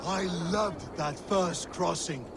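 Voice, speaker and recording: An actor playing a wistful Viking warrior in a video game, telling poetic memories over loud water and boat noise. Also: male voice, high voice, takes it slowly. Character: wistful Viking warrior reminiscing about his voyages. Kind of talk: poetic storytelling.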